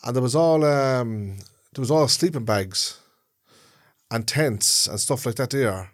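A man's voice: medium pitch, measured pace. A man with a Dublin Irish accent, co-hosting a podcast, telling stories in a rambling, casual way and checking in with his listener. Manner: rambling storyteller, checks in with "yeah?". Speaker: podcast co-host. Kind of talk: casual chat.

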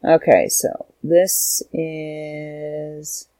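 A woman's voice: low-pitched, fairly even intonation, extremely slow, warm tone, dry recording. A young woman chats to her camera at home in a loose, rambling way, often stopping mid-thought and restarting. She sounds tired and stuffed up, with a touch of a sore throat. The sound is close and dry, with hardly any room around her. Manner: rambling, self-interrupting. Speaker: vlogger. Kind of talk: casual chat to camera.

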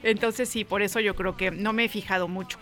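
A woman's voice: mid-range voice, steady tone, fairly quick, fairly bright tone. A woman co-hosting a morning show, chatty and often agreeing with the others. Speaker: co-host. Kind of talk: casual morning show chat. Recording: faint music behind.

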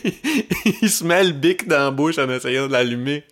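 A young man with a Québécois French accent, co-hosting a podcast in a relaxed, casual chat and telling stories with English slang mixed in. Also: fairly high pitch, normal speed. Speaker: podcast co-host.

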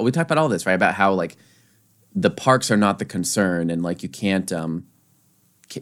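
A man co-hosting a casual discussion podcast, rambling with many restarts and fillers. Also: deep voice. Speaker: podcast co-host.

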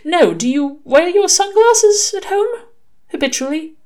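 A woman's voice: very high-pitched, expressive, unhurried, dark tone, voice pushed up. A woman voicing a prim, earnest male angel in an audiobook, with formal, fussy and hesitant phrasing. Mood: fussy, curious. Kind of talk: audiobook character dialogue.

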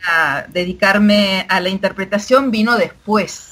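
A woman's voice: mid-range voice, unhurried, pushed and bright. A woman sign language interpreter as a podcast guest, whose first language is Argentine Sign Language, explaining things thoughtfully.

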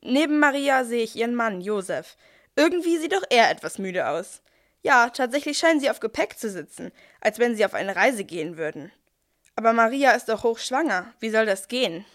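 A woman's voice: on the high side, lively intonation, normal speed, close to an everyday voice. A woman playing a chatty archangel messenger with a salesman's cheek, narrating a scripted nativity audio play. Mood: upbeat, promotional.

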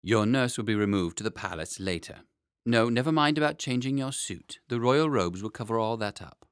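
A man voicing a grave, formal, courtly gentleman statesman with a solemn, stately manner in a storybook. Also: mid-range voice, lively intonation, moderate pace, clear, resonant tone, no echo, studio quality, close to an everyday voice. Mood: formal, reassuring.

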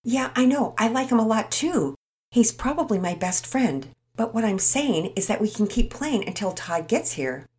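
Brief: woman voicing an earnest, kind boy, a bit raised in pitch, for a children's story. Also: animated, moderate pace, darkish tone, lightly acted. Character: friendly, thoughtful young boy.

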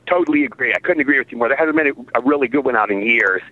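A man in his sixties, an actor guest in a casual interview, telling stories excitably and repeating his phrases. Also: mid-range voice, very fast, a little hiss or hum. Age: 60s. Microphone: phone. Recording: tinny phone line.

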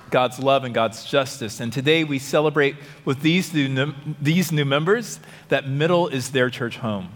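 A man leading a church service, welcoming new members to the congregation in an expressive address. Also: on the high side. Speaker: worship leader. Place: large church sanctuary. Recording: hall reverb with congregation behind.

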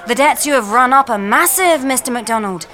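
A woman playing a formal, officious female financial adviser in an audio comedy sketch, speaking emphatically and deliberately. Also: a little high, expressive, pushed and bright, some chatter behind, voice pushed up. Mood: brisk, matter-of-fact. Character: brisk, formal female financial adviser breaking bad news.

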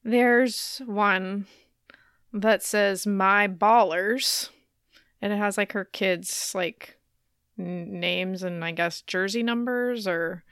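A woman's voice: medium pitch, steady tone, slow, a bit bright, smooth, no echo, clean studio sound. A woman in her thirties chatting casually and telling stories with wry humor as a podcast co-host. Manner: wry, laughs often.

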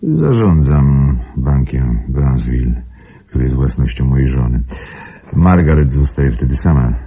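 An actor playing a grave, secretive wealthy husband in a radio drama, speaking formally with measured control in a gravelly, husky voice. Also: male voice, extremely low pitch, animated, mellow, heavily acted. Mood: firm, instructing. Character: grave, secretive wealthy husband guarding his invalid wife.

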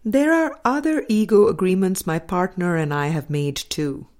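A woman reading a spiritual book aloud as scripted narration, in a measured, deliberate way with pauses between phrases. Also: lowish voice, darkish tone. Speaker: audiobook reader.

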